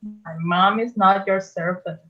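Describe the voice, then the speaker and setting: A woman's voice: mid-range voice, steady tone, a little slow, a bit bright, dull audio, close to an everyday voice. A woman playing a sarcastic, disaffected young boy who is protective of his mother, in a musical theatre table read. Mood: indignant.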